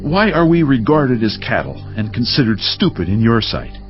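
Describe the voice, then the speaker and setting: A man's voice: medium pitch, sing-song, normal speed, faint music behind, natural voice. An actor playing an indignant man sternly rebuking a suffering friend in a dramatized scripture audiobook, with an accusatory, declamatory delivery. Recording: dull lo-fi audio.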